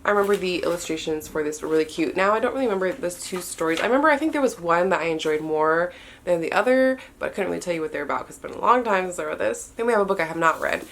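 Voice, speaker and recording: A young woman with a stuffy nose, chatting in a relaxed, often unsure way as she walks through the books on her shelves. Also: fairly low pitch, racing, slight background noise. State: stuffy-nosed. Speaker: book vlogger. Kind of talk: casual bookshelf tour talk.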